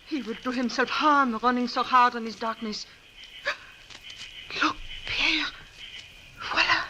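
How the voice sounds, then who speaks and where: A woman's voice: fairly high pitch, takes it slowly, pushed and bright, a little hiss or hum, poor audio. An actress playing an anxious, tender backwoods woman in an old radio drama. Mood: anxious, fearful. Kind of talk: 1940s radio acting.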